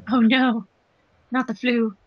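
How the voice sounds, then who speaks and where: A woman's voice: on the high side, little pitch movement, slow, highs cut off. A woman chatting casually as a commentator, gushing and self-deprecating. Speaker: commentator, fan dub voice actress and editor. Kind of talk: casual chat.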